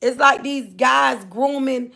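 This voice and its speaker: A high-pitched woman from Florida with a Southern drawl hosts a livestream. Her voice has a gravelly, fry-laden edge. She talks in a loose, chatty way, drifting from topic to topic. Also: takes it slowly, pushed and bright. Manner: chatty, drawling, scolds her kids off-mic. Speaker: livestream host. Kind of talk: casual live chat.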